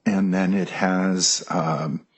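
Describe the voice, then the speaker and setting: A man gives testimony from the witness stand in a very deep voice with a husky edge. He speaks hesitantly, pausing often with ums as he works through a document. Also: fairly even intonation. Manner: hesitant, many ums, reading aloud. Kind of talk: courtroom testimony. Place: courtroom.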